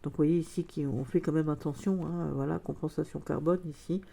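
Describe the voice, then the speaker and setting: A woman's voice: sits low, fairly even intonation, a little fast, gravelly, warm tone, breathy edge. A woman chatting casually in a vlog, hesitant with many fillers, her nose stuffed up from a cold. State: congested, a cold. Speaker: vlogger. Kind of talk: casual chat.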